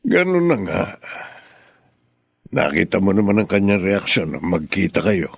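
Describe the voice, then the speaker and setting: Guy doing a low gravelly dad in a radio drama, husky and calm, just remarried. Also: measured pace, slight background noise, exaggerated voice. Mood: reassuring. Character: widowed father, newly remarried, calm and steady. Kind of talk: Filipino radio drama acting.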